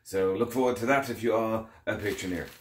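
A man's voice: lowish voice, fairly quick, a little hiss or hum. A man in his thirties with a slightly sore throat, rambling and correcting himself while unboxing toys in his kitchen at home. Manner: rambling, self-correcting, chatty. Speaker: toy and model kit reviewer. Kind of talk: casual unboxing vlog. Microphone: camera in front at close range. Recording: echoey kitchen.

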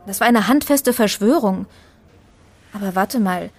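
An actress playing an inquisitive, sharp-tongued woman with a sardonic, probing manner in an audio drama. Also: mid-range voice, a little slow. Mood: indignant, sarcastic. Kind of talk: German audio drama dialogue.

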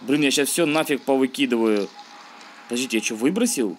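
A man's voice: mid-range voice, fairly quick, a little hiss or hum. A man giving casual, slangy let's-play commentary, thinking aloud and grumbling as he plays. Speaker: gaming video host.

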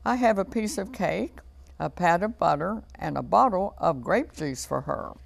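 A woman's voice: on the low side, lively intonation, normal speed, vocal fry, heavily acted. A woman voicing an innocent, trusting little girl in a storybook, earnest and wide-eyed.

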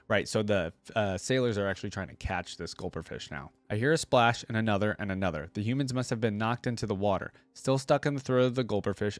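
A man's voice: fairly low pitch, no echo. A young man reading a story aloud and commenting casually as a reaction video host. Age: young adult.

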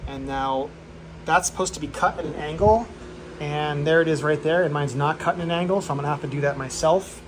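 A man's voice: a little high, brisk, noisy background. A man filming a casual, offhand walkthrough of his home workshop, joking at his own expense. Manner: offhand and self-deprecating, with fillers. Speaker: channel host.

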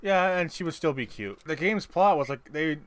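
A man talking casually while playing a video game, explaining things haltingly with repeated words. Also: fairly high pitch, animated. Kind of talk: casual gameplay chat. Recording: game gunfire under the voice. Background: video game gunfire and ambience.

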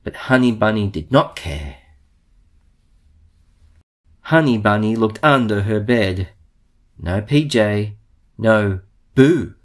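A man reading a children's storybook aloud in a theatrical way, with drawn-out emphasis. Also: fairly low pitch, lively intonation. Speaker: storybook reader.

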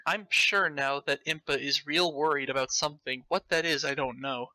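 A man's voice: fairly high pitch, fairly even intonation, normal speed, sharp tone, dry recording. A man voicing an earnest young adventure hero, telling his tale plainly in a story reading.

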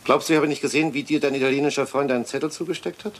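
An actor playing a bitter, jealous husband and resentful son with a heated, accusing manner in a radio play. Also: male voice, fairly high pitch, fairly even intonation, rapid-fire, close to an everyday voice. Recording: hissy old radio play recording.

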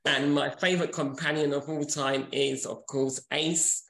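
Man, 40s, enthusiastic podcast chat, gravelly, echoey room. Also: on the high side, level tone, a little slow, a bit bright. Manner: enthusiastic, chatty. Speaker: podcast co-host and author. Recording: roomy echo.

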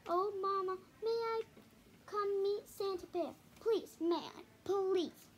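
A young girl of about ten to twelve reading a children's picture book aloud haltingly, with stumbles, repeats and casual asides. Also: very high-pitched, a little hiss or hum. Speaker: storybook reader. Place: small living room at home, indoors.

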